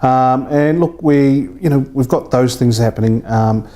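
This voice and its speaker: A New man in his thirties talks informally in an interview. His voice is dark and very relaxed, at an average male pitch. He is colloquial and a little hesitant, like an estate agent having a casual chat. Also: a little slow. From New Zealand. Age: 30s.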